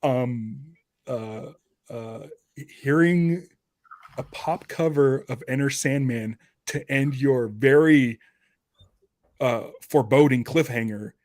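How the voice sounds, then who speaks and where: A man with a medium-pitched voice chats casually as a podcast speaker. His phrasing is informal and halting as he works through his opinions. The recording is very dry, with no room sound. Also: darkish tone, no echo. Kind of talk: casual chat about TV and film.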